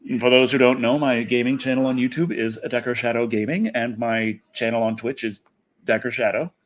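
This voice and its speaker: A man's voice: medium pitch, steady tone, fairly quick. A man hosting a casual livestream, chatting off the cuff in a rambling way and often repeating his phrases. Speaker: internet personality, livestream host. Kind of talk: casual livestream chat, answering viewers.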